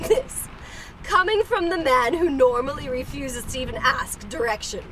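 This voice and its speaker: A woman's voice: high voice, moderate pace, a bit bright, a little hiss or hum, rough recording. A woman playing a practical, determined wife and mother in a comic radio play, pointed and chiding.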